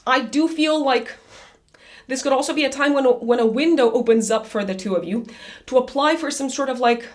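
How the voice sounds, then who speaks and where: A woman reading a monthly horoscope in a chatty, loose way, her voice raspy after an illness. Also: high-pitched, brisk. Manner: chatty, loose, with many fillers and restarts. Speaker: astrologer.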